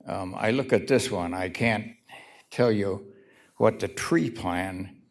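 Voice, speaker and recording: A man giving a halting, pointed public comment at a city meeting, speaking into a podium microphone in a council chamber. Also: lowish voice.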